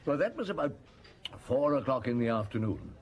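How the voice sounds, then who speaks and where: Casting: male actor as seasoned, wry chief inspector for radio drama, very animated delivery, faint noise on the recording. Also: mid-range voice, a little fast, close to an everyday voice. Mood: reminiscing. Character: weary, wry French police chief inspector recalling old cases. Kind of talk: radio drama dialogue and reminiscence.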